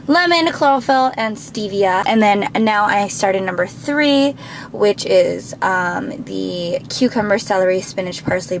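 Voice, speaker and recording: A young woman in her twenties chatting to a handheld camera at arm's length, a little raspy from just waking, upbeat with many fillers. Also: mid-range voice, a bit bright, slight background noise. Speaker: vlogger. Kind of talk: casual vlog chat to camera. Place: apartment rooms, gym and car. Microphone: handheld fisheye action camera at arm's length.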